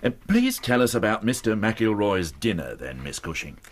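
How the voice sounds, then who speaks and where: Husky, officious police inspector. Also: male voice, fairly low pitch, very animated, moderate pace. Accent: English. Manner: official, curt. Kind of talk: radio drama acting.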